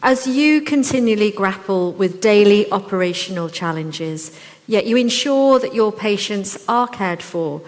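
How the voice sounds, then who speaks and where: A woman, presenting for a charity and giving a measured, formal thank-you speech. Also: mid-range voice. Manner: earnest, measured, switching into Welsh. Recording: long reverb of a live venue.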